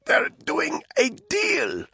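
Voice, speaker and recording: A man voicing a frightened female informant, her voice croaking and strained as a rope chokes her. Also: very high voice, wildly swooping pitch, very slow, pushed and bright, no room sound, in a whisper, studio quality, pitched above the performer's own voice, exaggerated voice. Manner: breathless, pleading, rising to cries.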